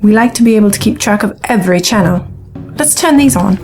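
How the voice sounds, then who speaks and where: A woman's voice: mid-range voice, quick pace, natural voice. Woman playing a wry young female reporter. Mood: worried, businesslike. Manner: sarcastic, expressive. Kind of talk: science fiction audio drama acting. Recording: music behind the voice.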